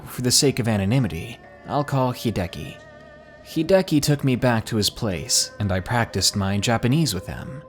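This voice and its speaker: A man reading a ghost story aloud in a measured storytelling delivery. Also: medium pitch. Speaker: narrator. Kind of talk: scripted horror story narration.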